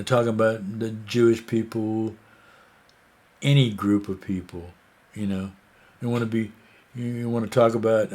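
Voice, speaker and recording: An American man talks in an unhurried, rambling way, stopping often for fillers and restarts. His tone is indignant as he comments on the news, and his slow delivery lets each point drag out. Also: fairly low pitch, darkish tone. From United States. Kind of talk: casual opinion monologue.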